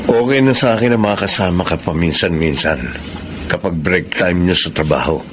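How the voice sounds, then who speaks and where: A man's voice: deep voice, moderate pace, lightly acted. A man acting a wistful, lovelorn young man in a Filipino radio drama, earnest and emotive, with a Filipino accent. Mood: wistful, tender. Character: lovelorn, wistful man pining for a taken woman. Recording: clearly noisy background.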